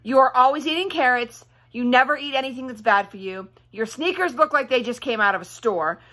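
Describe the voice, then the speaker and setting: A woman's voice: sits high, a little fast, pushed and bright. A woman voicing a bossy, fierce young girl from a children's storybook, demanding and indignant. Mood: accusing.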